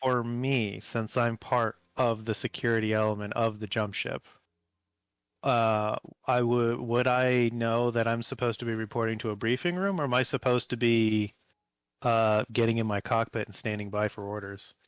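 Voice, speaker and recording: A man's voice: mid-range voice, steady tone, moderate pace, clean tone, no echo. A man chatting casually as a player in a tabletop game, thinking aloud a little tentatively. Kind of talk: casual chat, in-game discussion.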